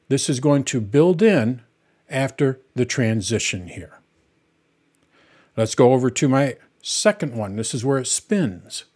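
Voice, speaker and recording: A middle-aged man hosting a software tutorial, walking through each step in a plain, patient way as he works on screen. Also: medium pitch.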